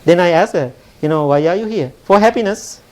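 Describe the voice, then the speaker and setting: A man's voice: sits high, lively intonation, brisk. A man from Sri Lanka, a Buddhist monk giving a dharma talk, often asking "right?" and laughing lightly. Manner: warm rhetorical asides, often asks "right?", laughs lightly. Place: temple hall.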